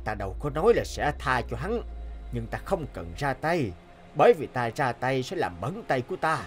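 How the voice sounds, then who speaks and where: A man plays an old supreme God from a cartoon heaven. He is calm, stern and solemn, speaking with measured authority over music. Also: medium pitch, close to an everyday voice. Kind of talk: cartoon voice acting.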